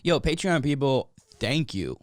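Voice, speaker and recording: A man's voice: mid-range voice, very animated, measured pace, no room sound, clean studio sound. A young man hosting a podcast, talking earnestly in casual chat with some restarts. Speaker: podcast host.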